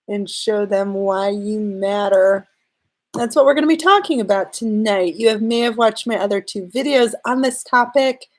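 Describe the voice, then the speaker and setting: A woman with a slight cold giving earnest, direct relationship advice to her viewers in a live-streamed talk. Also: medium pitch. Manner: earnest, direct advice spoken straight to the listener. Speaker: relationship and law-of-attraction coach.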